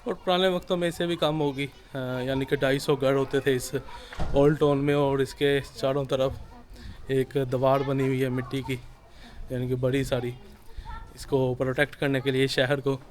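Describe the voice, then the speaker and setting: Young male travel vlogger, mid pitch, casual walk-and-talk delivery, recorded outdoors on a handheld camera. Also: level tone, normal speed. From Pakistan. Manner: chatty and conversational, pointing things out as he walks. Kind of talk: casual walking tour commentary. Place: outdoors in narrow old-town streets.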